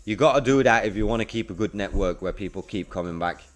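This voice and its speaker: A man's voice: lowish voice, fast. A man in his forties talking casually to livestream viewers in a laid-back, slangy way. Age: 40s. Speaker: livestreamer and freelance web developer. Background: music playing.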